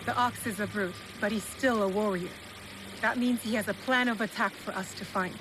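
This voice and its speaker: A woman's voice: medium pitch, fairly even intonation, normal speed, noisy background, lightly acted. An actress playing a resolute, principled young shinobi woman in a video game, speaking firmly and earnestly. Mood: determined. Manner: firm, earnest. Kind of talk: video game cutscene acting. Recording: loud music behind the voice.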